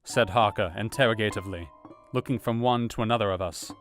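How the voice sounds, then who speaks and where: An actor playing a serious, observant gentleman, narrating events in a measured, formal way for a radio play. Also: fairly low pitch, faint music behind, lightly acted. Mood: grave, watchful.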